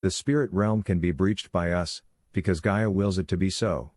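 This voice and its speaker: A man voicing a calm, authoritative werewolf pack leader who lectures in a measured, instructive way for a fantasy audiobook. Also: low-pitched, no room sound, clean studio sound, voice pushed down. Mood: patient authoritative.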